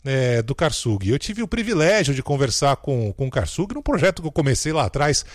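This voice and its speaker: Man, radio commentator, reflective, fond, dry sound, mid pitch. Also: big pitch swings, fairly quick, dry recording. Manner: reflective, fond, with hesitant fillers. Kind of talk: casual radio tribute.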